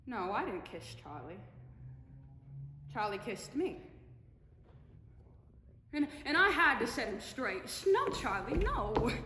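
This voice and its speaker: A woman's voice: on the high side, big pitch swings, slow pace, pauses often, pushed and bright, full character voice. A young woman performing a dramatic monologue as a brash, sparkling silent film comedienne, showy and theatrical, with conversational stammers. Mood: wistful, fond. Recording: echoey hall, distant, noisy room.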